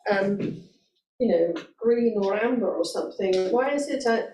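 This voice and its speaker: A woman on a committee presses for clear answers, questioning persistently and asking to finish her point. She sits away from the microphone in a roomy council chamber, with faint background hiss. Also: mid-range voice, unhurried, mellow. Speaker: committee member. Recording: distant mic in an echoey room, faint noise.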